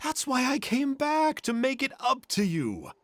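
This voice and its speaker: Game role: hammy, self-pitying storyteller uncle, high and very lively. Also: male voice, a little slow, exaggerated voice. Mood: theatrical. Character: boastful, theatrical adult male storyteller uncle. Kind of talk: video game voice acting.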